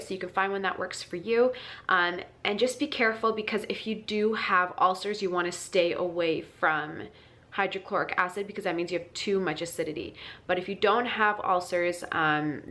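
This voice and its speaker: A woman's voice: fairly low pitch, steady tone, moderate pace, a bit bright. A woman with a stuffy, congested voice from a cold, giving chatty wellness advice to her channel with lots of ums and restarts. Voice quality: nasal, congested. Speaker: wellness vlogger. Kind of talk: casual advice to camera.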